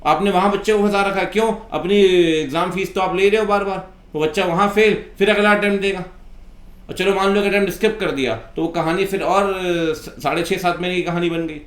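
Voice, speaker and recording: A man's voice: sits high, steady tone, fairly quick, fairly bright tone. A man, an accountancy teacher giving an impassioned, informal rant in an exasperated, reproachful way full of rhetorical questions. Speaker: accountancy teacher and video host. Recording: echoey room.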